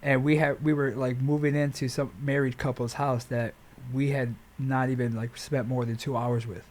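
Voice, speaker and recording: A man's voice: mid-range voice, level tone, moderate pace, darkish tone. An American man speaking as a podcast guest and jiu-jitsu trainer, telling stories casually in informal, slangy language.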